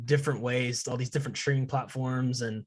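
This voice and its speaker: Young male student interviewer, medium-pitched voice, casual podcast hosting. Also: steady tone, fairly quick. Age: young adult.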